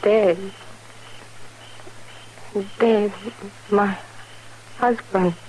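A woman's voice: medium pitch, steady tone, very slow, dark tone. A woman playing a fierce, dazed young widow in mourning, speaking in broken phrases in a 1950s radio drama. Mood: dazed grief. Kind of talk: 1950s western radio acting. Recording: noisy vintage radio recording.

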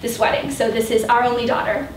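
A young woman in her twenties introducing her recital, excited and hesitant with fillers, filmed by a distant camera in a parlor room. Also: on the high side, quick pace, slight background noise. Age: 20s. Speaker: student performer. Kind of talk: casual spoken introduction. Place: parlor room with grand piano. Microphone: far camera. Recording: roomy, distant room sound.

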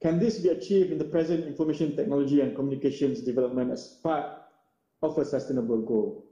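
A man's voice: mid-range voice, fast talker, dark tone. A man moderating an online forum and reading out audience questions, hesitant and full of fillers. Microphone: video call. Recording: dull, roomy video call audio.

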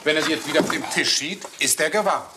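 A man's voice: a little high, quick pace, natural voice. A man playing a detective's eager, plain-spoken friend with a familiar, earnest manner in a German radio crime drama. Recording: noisy, hissy old radio play recording.

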